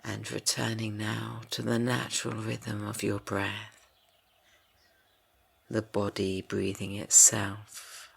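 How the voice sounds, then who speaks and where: A man guiding a yoga nidra rest for grief, speaking slowly and softly, drifting into whispers. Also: lowish voice, completely flat delivery, gravelly, breathy edge. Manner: slow, soft and soothing, drifting into whispers. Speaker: meditation guide. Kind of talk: guided yoga nidra meditation.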